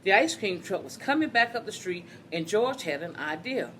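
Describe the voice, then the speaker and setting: A woman's voice: mid-range voice, animated, moderate pace, a bit bright, slight background noise. A woman reading a children's story aloud, expressively, addressing her young listeners directly.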